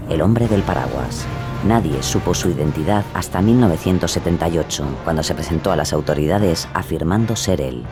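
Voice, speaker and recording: A man from the mainland school of narration, with a very low voice. He reads suspenseful documentary script deliberately, with music clearly behind him. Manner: deliberate, dramatic.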